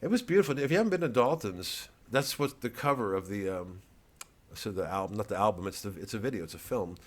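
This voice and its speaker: A man with an American accent and a lowish voice delivers a casual comedian's monologue. He wanders from one aside to the next and swears freely, as if chatting straight to his followers. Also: lively intonation, fast. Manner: rambling, digressive, profane. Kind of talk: casual vlog monologue to fans.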